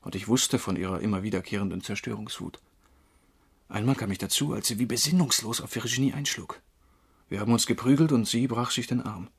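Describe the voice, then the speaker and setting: An actor playing a troubled former drinker, wrongly convicted, confessing haltingly in a crime radio drama, with a husky voice. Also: male voice, lowish voice. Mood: hesitant, confessional. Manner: halting, brooding.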